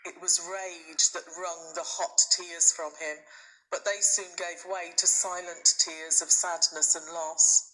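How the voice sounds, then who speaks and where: A woman reading a children's story aloud as a teacher would, in expressive scripted narration. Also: fairly low pitch, slow, a little hiss or hum, low-quality recording.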